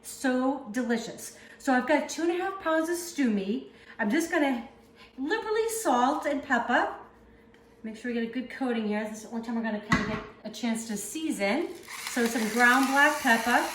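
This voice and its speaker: A woman with a Northeastern US accent hosts a casual cooking demonstration. Her voice sits a little high, and she chats upbeat as she works. The home kitchen gives it a roomy echo, with faint cooking sounds behind. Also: animated, moderate pace, a bit bright, a little hiss or hum. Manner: chatty, upbeat, talks while working. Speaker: cooking channel host.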